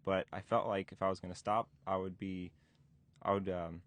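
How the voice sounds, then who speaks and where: Man, 20, very low voice, halting and filler-heavy. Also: little pitch movement, no echo. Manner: halting, many restarts and fillers. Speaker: DJ instructor. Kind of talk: recorded interview recollection. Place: museum interview room.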